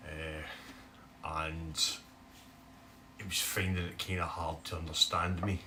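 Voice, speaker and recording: A man with a broad Scottish accent, talking casually to camera for his bass guitar channel, rambling with lots of "eh" fillers. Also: flat delivery, slow, distant mic, noisy background. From Scotland. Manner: chatty, rambling, many "eh" fillers. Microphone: phone camera.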